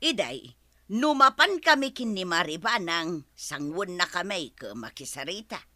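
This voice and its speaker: An actress playing an excitable, gossipy village woman in an Ilocano radio comedy drama. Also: on the low side, measured pace, dry recording, studio quality, exaggerated voice.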